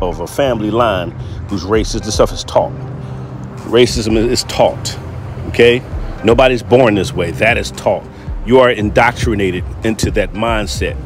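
A man hosting a casual reaction commentary, rambling with filler words, repeating himself and chuckling. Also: lowish voice. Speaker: host of a commentary channel.

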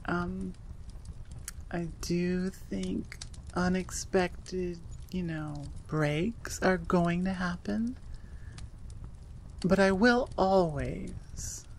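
A woman's voice: lowish voice, a little hiss or hum. A woman audio creator talking casually and personally to her listeners, in an earnest, rambling way.

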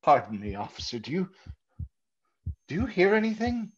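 A man playing a nervous, overpolite murderer hiding his guilt, halting and husky, in old-time radio theater style. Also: sits high, big pitch swings, slight background noise. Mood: jittery. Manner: halting, over-courteous, stammering. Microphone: video call. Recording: dull, lo-fi video call audio.

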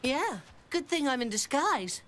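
Slow, pompous cartoon boy detective. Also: high-pitched, sing-song. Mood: pompous, confident. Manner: pompous, self-assured. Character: pompous, scheming young boy playing detective. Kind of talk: children's cartoon acting.

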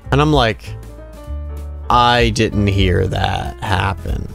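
A man in his twenties doing casual gameplay commentary and telling personal stories in an animated, rambling, conversational way. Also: fairly low pitch, slow, creaky, faint music behind. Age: 20s. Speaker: gaming video host. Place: small dim room at home, indoors.

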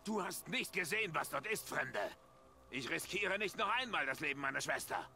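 A gravelly, proud hunter. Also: male voice, fairly high pitch, lively intonation, normal speed, sharp tone, slight background noise, exaggerated voice. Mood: defiant.

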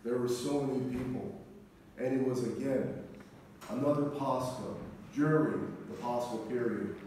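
A middle-aged man, an Orthodox priest, preaching a sermon in a solemn, deliberate manner with measured pauses. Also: medium pitch, steady tone, warm tone. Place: large church interior, speaking from the front. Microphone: distant camera microphone. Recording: echoey church, distant mic, faint noise.

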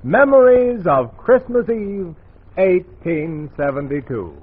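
Animated 1940s radio announcer, tinny broadcast. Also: male voice, high-pitched, takes it slowly, loud music behind the voice. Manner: dramatic, formal.

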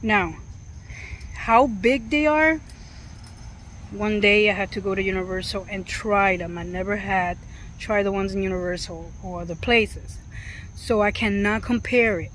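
A middle-aged woman giving a casual food review to the camera, halting and repeating words as she goes. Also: mid-range voice, a bit bright, slight background noise, low-quality recording. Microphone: camera or phone at arm's length. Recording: music behind the voice.

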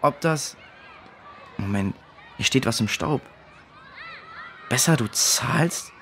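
A man's voice: mid-range voice, lively intonation, slow pace, fairly bright tone, a faint crowd, slight background noise. A man playing an earnest, trusting schoolboy tinkerer in a children's audio drama, with an explaining manner. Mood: flustered, dismayed.

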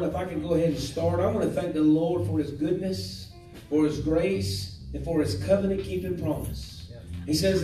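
A man's voice: high voice, dark tone. A man in his thirties, a pastor leading testimony time in a small church, fervent and preacherly, speaking into a handheld mic. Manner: fervent, preacherly, frequent praise-the-Lords. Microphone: handheld mic, filmed by far camera. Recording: echoey church from a distance.

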